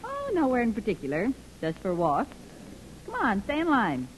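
A brisk, motherly chorus girl. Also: fairly high pitch, huge pitch swings, slight background noise, low-quality recording. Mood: breezy. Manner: brisk, breezy, protective. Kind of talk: 1930s radio drama acting.

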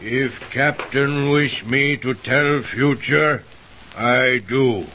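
An actor playing a solemn native fortune-teller who speaks halting broken English, in an old radio drama. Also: male voice, mid-range voice, sharp tone, heavily acted. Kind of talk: 1930s radio drama acting.

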